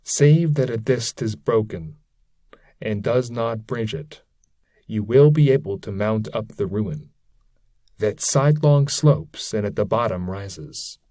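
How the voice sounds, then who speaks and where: A man's voice: on the low side, slow, warm tone, no echo. A man voicing a grieving damned friar in a formal, weary, archaic way for an epic poem. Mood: dry, knowing. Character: grieving damned friar, hypocrite's shade in leaden cloak. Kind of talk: dramatic reading of epic verse.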